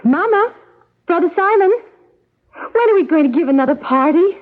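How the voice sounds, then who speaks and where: An actress playing a merry, restless, willful farm daughter with childlike, headstrong bluntness in a radio drama. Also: female voice, very high-pitched, lively intonation, moderate pace, slight background noise. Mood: excited, eager. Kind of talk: 1970s radio drama acting. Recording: dull lo-fi radio broadcast recording.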